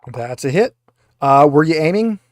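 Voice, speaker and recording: A man's voice: fairly high pitch, animated, moderate pace. A man running a tabletop roleplaying game as game master, explaining rules and guiding players step by step.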